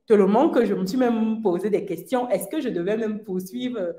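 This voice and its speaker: A woman's voice: mid-range voice, normal speed, dark tone. Earnest woman in a roomy echo. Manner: earnest, laughs between phrases, many fillers. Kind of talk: personal testimony, casual talk.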